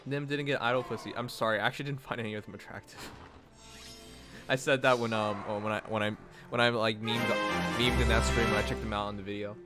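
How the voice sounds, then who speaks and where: A young man chatting casually on a livestream, animated and rambling, often breaking into laughs. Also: medium pitch, fast. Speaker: streamer. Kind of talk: casual stream chat. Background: faint music.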